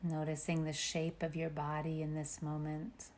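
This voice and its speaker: A woman meditation teacher leading a guided meditation with gentle, unhurried instructions and long pauses. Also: deep voice, monotone, a little hiss or hum.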